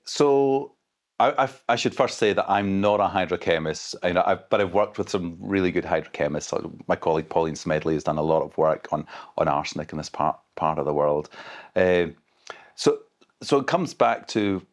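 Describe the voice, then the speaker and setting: The low-voiced Scottish scientist guest on a podcast, carefully talking a listener through a complicated subject. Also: male voice, lively intonation, normal speed. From Scotland. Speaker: expert guest, hydrogeologist. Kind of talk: explanatory interview conversation.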